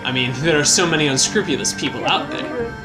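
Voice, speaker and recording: A man's voice: a little high, sing-song, a little fast. A man doing a smug, flamboyant swordsman. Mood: needling. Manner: teasing, theatrical, sprinkles French words. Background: game music.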